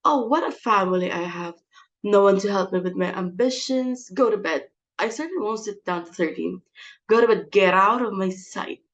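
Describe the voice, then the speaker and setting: A woman's voice: mid-range voice, moderate pace, lo-fi sound, close to an everyday voice. A woman acting a domineering, melodramatic middle-aged society hostess in a dramatic play reading, theatrical and exasperated. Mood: scolding, exasperated.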